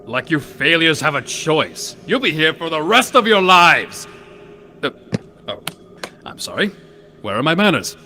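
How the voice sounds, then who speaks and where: A man's voice: fairly high pitch, expressive, measured pace, bright, forceful tone, a little hiss or hum, heavily acted. A man voicing a domineering, sneering pegasus doctor villain in a pony horror audio drama, powerful, theatrical and condescending. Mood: sneering, commanding.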